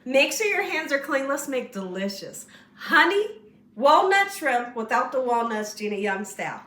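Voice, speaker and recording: Bright-voiced, slightly hoarse woman cooking host. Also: high voice, very animated, moderate pace, reverberant, recorded from a distance. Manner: chatty, encouraging, talks straight to viewers. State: slightly hoarse, losing her voice. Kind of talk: casual home cooking tutorial. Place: home kitchen.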